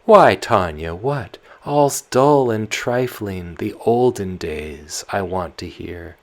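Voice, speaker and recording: A man's voice: fairly low pitch, animated, slow, voice pushed up. A man voicing a fussy, devout old peasant nurse woman who exclaims fretfully. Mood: rambling.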